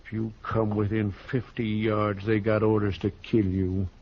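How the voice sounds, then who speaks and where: An actor playing a blunt, stubborn, jealous bridegroom in a 1950s western radio drama. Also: male voice, on the low side, little pitch movement, a little slow. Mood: cold threat. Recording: dull old radio recording.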